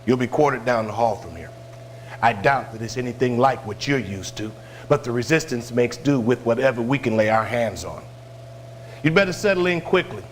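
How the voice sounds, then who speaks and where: An actor playing a gruff middle-aged colonel who talks bluntly and emphatically in a game cutscene. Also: male voice, medium pitch, expressive, moderate pace, a little hiss or hum, close to an everyday voice. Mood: blunt, stern. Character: gruff, no-nonsense male colonel running a rebel base. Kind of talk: scripted game cutscene acting.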